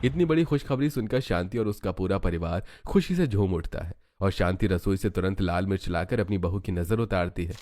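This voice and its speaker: Low-voiced man narrating a story. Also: a little fast, few pauses, a little mellow, no room sound, clean studio sound. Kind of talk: scripted cartoon story narration.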